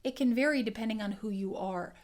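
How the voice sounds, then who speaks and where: A woman dialect coach teaching an accent lesson, explaining clearly and demonstrating sounds. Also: on the high side, fairly quick, a little mellow.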